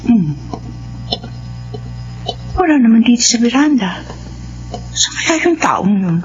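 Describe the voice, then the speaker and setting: A woman's voice: on the high side, big pitch swings, slow. An actress with a Filipino accent playing an anxious, suspicious wife and mother in a radio drama. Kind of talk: radio drama acting with murmured inner monologue. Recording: dull, noisy radio drama audio.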